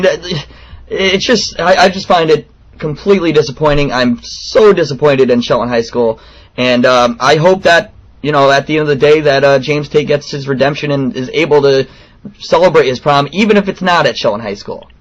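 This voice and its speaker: A man in his late twenties speaks in a fairly high voice on a muffled, lo-fi call. He is earnest and persistent, stumbling through an informal complaint as he keeps pushing his point. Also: normal speed. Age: late 20s. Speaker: radio show host calling in a complaint. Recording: dull, lo-fi phone call recording.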